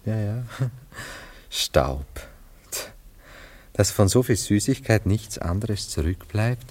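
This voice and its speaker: A man's voice: on the low side, lively intonation, slow pace, darkish tone. An actor in a radio play, playing a nostalgic, self-absorbed romantic man who muses theatrically. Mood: wistful. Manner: wistful, musing, theatrical, savoring his words. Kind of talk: German radio play acting.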